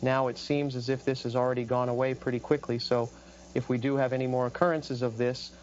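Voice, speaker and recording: A man playing a flat-voiced local TV weatherman. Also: mid-range voice, highs cut off, a little hiss or hum, close to an everyday voice. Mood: concerned. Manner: steady, measured forecast delivery with small hesitations. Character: calm male TV meteorologist on a local station. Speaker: weather presenter. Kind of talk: amateur broadcast role-play.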